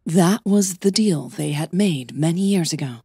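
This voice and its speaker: A woman's voice: fairly low pitch, expressive, a little slow, mellow, slightly rough, no room sound, clean studio sound. A woman reading scripted fiction as the narrator of an audio drama podcast.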